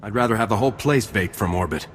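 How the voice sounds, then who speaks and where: A man's voice: lowish voice, quick pace, in a large hall, a little hiss or hum, lightly acted. A man playing a brash, cynical army lieutenant in a video game, curt, cocky and insolent. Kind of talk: scripted game dialogue.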